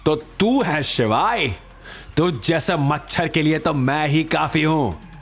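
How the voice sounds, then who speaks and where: A man's voice: on the high side, lively intonation, slow pace, a bit bright, breathy edge, faint music behind, voice pushed up, full character voice. A man voicing a huge, hot-tempered gang brute in an audio drama, bullying and roaring. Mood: menacing contempt. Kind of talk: audio drama villain acting.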